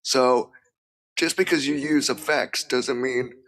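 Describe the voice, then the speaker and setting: A man's voice: medium pitch, slow pace, fairly bright tone. A man who works as a freelance voice actor, chatting as a guest in a hesitant way, full of restarts and "you know". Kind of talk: casual chat.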